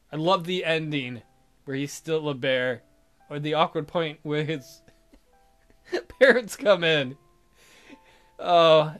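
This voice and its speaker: Theatrical, high-voiced man over music. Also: fairly bright tone, clean tone. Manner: theatrical, jokey, hammy delivery. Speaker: let's play host. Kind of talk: reading a visual novel aloud with dramatic commentary. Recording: background music behind voice.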